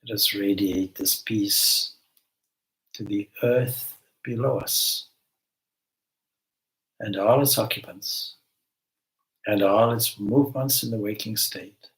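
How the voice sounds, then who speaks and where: A man leading a guided meditation as a spiritual teacher, giving gentle instructions with long pauses between phrases. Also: fairly low pitch, steady tone, poor audio.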